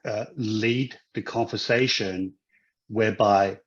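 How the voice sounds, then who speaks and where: A man's voice: fairly low pitch, fairly even intonation, slow pace, dull audio. A man from Hong Kong who grew up in Australia, a guest in a casual interview, who talks with many restarts and fillers. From Hong Kong, raised in Australia.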